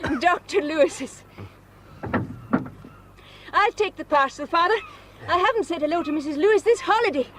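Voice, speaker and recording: An actress playing a quick-witted young woman home on holiday from her studies, chatting and thinking aloud, in a radio drama. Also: very high-pitched, animated, noisy background. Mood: chatty.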